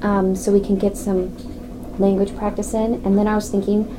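A woman of medium pitch talks casually and tentatively, thinking aloud with many ums and asking her group for their views. Clear steady background noise runs beneath her voice. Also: normal speed. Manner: tentative, full of ums, asks others' views. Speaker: language learner.

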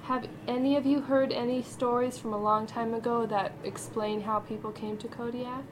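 A woman in her twenties from Alaska, a counselor leading interviews, casual and quick to laugh. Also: fairly high pitch, fairly even intonation. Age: 20s. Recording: noisy room recording.

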